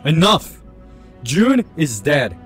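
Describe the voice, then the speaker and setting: A man's voice: on the high side, big pitch swings, slow pace, a bit bright, faint music behind, heavily acted. A man playing a vengeful, grieving father and villain in an audio drama, with grandiose, menacing delivery. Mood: anguished, angry.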